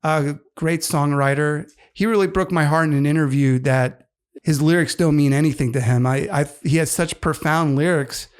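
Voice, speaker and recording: A man's voice: fairly high pitch, steady tone, normal speed, clean tone. A man in his fifties co-hosting a casual podcast, rambling enthusiastically about music. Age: 50s. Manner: rambling, enthusiastic music talk with many fillers. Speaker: co-host.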